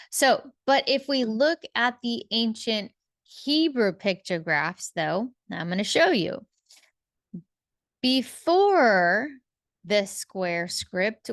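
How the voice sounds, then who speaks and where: Slow, unhurried woman teaching scripture. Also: medium pitch, a bit bright, dry recording, clean studio sound. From English-speaking. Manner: halting, thinking aloud with restarts, listing meanings one word at a time. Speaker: Bible teacher. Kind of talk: relaxed Bible teaching monologue.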